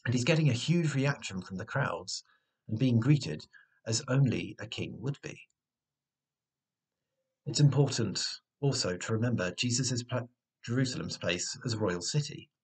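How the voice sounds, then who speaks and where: A man in his twenties with a slightly husky voice, giving a measured, earnest sermon, recorded indoors at home on a device mic. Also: medium pitch, lively intonation, darkish tone. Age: 20s. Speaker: preacher. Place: small room at home, indoors. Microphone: webcam or device mic at arm's length.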